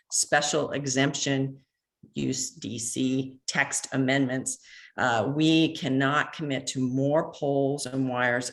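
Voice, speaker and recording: Woman giving earnest public comment by phone. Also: rumbling low. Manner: earnest, measured, some halting restarts. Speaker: neighborhood association president giving public comment. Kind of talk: prepared public comment read aloud. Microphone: phone call into online meeting.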